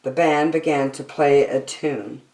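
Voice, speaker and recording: Slow, expressive low-voiced woman storyteller. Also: recorded from a distance. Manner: theatrical, deliberate phrasing. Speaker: storytime reader. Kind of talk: children's picture book read-aloud. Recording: roomy, echoey sound.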